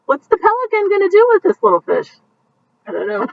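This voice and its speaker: Female teacher, bedtime read-aloud, very expressive voice, stops to comment on the pictures, dull lo-fi sound. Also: very high voice, vocal fry, recorded from a distance. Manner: animated, pausing to point out pictures to young listeners.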